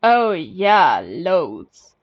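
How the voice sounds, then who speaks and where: A woman's voice: lowish voice, sing-song, drawn-out words, highs cut off, voice pushed down, full character voice. A woman voicing a tired, sardonic young male captive in a story, with a dry, pointed delivery.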